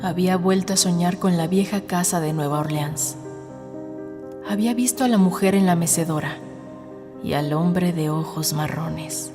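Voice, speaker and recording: Woman, audiobook narrator, lowish pitch, scripted dramatic storytelling, measured and suspenseful, clear music bed. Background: background music.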